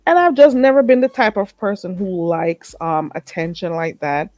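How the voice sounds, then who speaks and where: A woman's voice: fairly low pitch, normal speed, darkish tone, no echo. Woman host, stuffy and a bit nasal, emphatic live-stream chatter, dry close mic. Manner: chatty, emphatic, repeats herself. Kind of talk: late night live chat with viewers.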